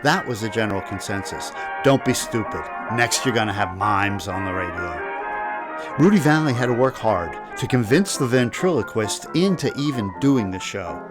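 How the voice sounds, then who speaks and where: A man's voice: lowish voice, lively intonation, normal speed, over music. A man reading scripted documentary narration in a wry, jokey manner. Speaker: narrator of old-time radio history.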